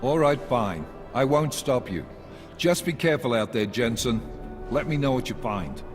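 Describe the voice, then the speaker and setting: An actor playing a blunt, exasperated police unit commander in a video game, with a stern manner. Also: male voice, mid-range voice, animated, normal speed, big-room sound, lightly acted. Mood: resigned, stern. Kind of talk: cinematic video game acting. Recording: game soundtrack music behind.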